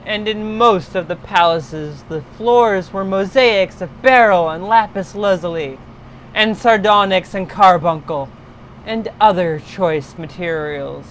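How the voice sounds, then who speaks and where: A woman reading a literary horror story aloud in a solemn, deliberate, dramatic storytelling style. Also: medium pitch, slight background noise, low-quality recording.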